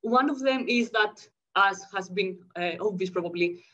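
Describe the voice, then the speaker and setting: A woman in her thirties with a Greek accent, from Greece, reading a conference paper quickly over a video call. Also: medium pitch, pushed and bright. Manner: fast-talking, checks in with the audience. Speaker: academic speaker. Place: home room, indoors. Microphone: laptop webcam mic, video call. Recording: muffled lo-fi video call audio.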